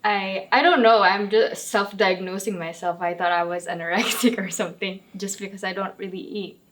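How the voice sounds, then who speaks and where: A woman's voice: medium pitch, steady tone, moderate pace, fairly bright tone. Woman, candid and hesitant, group talk, faint background noise. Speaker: interview participant. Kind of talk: casual group discussion.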